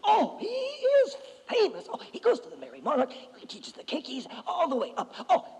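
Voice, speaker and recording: A man's voice: huge pitch swings, normal speed, darkish tone, big-room sound, heavily acted. A man putting on a fluttery old man. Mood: proud. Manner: high, fluttery, eager, chattering. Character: excitable elderly Hawaiian man, eager storyteller.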